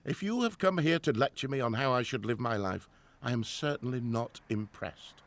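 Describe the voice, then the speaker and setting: A man acting in a radio drama as a middle-aged 1920s professor and grieving father, formal and earnest, halting when troubled. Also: mid-range voice, lively intonation, lightly acted. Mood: bitter, angry.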